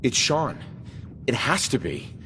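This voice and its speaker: A man's voice: mid-range voice, sing-song, slow, noisy background. An actor playing an earnest, determined male wasteland survivor in a video game. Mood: urgent hope.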